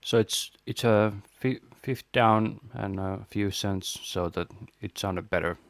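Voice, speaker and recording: Low-voiced man presenting a tutorial, dry sound. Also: takes it slowly, creaky, no room sound. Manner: informal step-by-step explaining. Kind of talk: casual software walkthrough.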